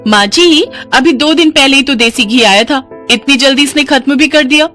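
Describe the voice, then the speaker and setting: Woman putting on a high, whiny spiteful daughter-in-law. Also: moderate pace, sharp tone, soft background music. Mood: whiny, accusing. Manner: whiny complaining, pointed. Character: spiteful, snobbish rich daughter-in-law, scheming and tattling.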